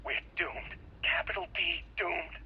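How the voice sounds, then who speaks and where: A man's voice: fairly high pitch, measured pace, gravelly, slight background noise. An actor playing an anxious, exasperated male spacer in a video game, fretful and sarcastic, heard through a radio transmission filter. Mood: gloomy. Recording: muffled, garbled radio transmission.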